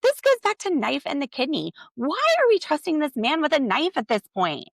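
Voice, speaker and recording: A woman's voice: high voice, very animated, moderate pace, creaky, dry recording, studio quality. A woman hosting a solo podcast, recapping a reality TV episode with sarcastic, exasperated commentary. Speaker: podcast host.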